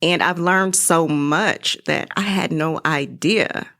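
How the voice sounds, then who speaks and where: A middle-aged woman speaks as a guest in a casual interview. Her voice sits on the low side, and she tells things in an animated, conversational way. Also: takes it slowly, gravelly.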